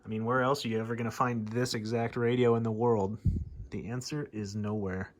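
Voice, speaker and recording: A man's voice: mid-range voice, level tone, a little fast. A man giving a casual hands-on demo and calling out as a CB radio hobbyist. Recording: clear background radio noise.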